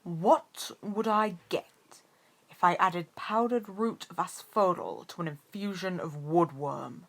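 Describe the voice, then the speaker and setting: A woman voicing a stern, sour male potions professor in a storybook reading, with slow, drawn-out, curt phrasing. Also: fairly low pitch. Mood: barking, challenging.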